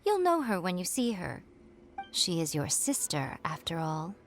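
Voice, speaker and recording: A sly fox priestess from a video game, haughty and playful. Her coy, theatrical delivery swings widely in pitch, teasing with every line. Music plays behind her. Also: big pitch swings, measured pace. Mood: smug, playful. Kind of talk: English video game voice acting.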